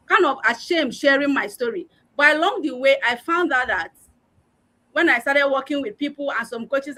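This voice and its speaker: Woman, high voice, earnest motivational talk, video call audio. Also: bright, forceful tone, rough recording. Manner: earnest, personal, with frequent um fillers. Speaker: panelist on a live online discussion; learning and development head.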